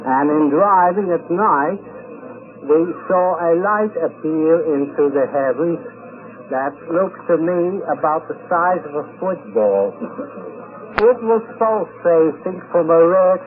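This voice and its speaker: A man being interviewed on location, telling a personal account in a halting, rambling way. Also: on the high side, lively intonation. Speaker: convention attendee being interviewed. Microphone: shared interview microphone. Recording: tinny 1950s radio field recording.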